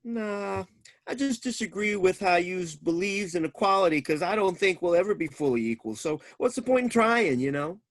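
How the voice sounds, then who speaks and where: A man's voice: high voice, expressive, moderate pace. A man playing a crass, stubborn, folksy boulder seller in a staged play reading. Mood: crass, dismissive. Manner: folksy ungrammatical talk, chuckling.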